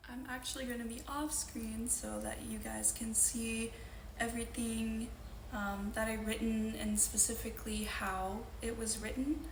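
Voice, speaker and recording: A woman's voice: fairly high pitch, steady tone, a little slow, noisy background. A young woman from Louisiana, speaking earnestly as she introduces and reads her own poetry. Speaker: poet. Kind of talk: poetry reading with spoken introductions. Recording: roomy echo with faint ambience.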